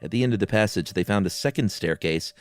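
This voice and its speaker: A man's voice: deep voice, quick pace, soft background music. A man co-hosting a podcast, moving between casual banter and dramatic storytelling. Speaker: podcast co-host and story narrator.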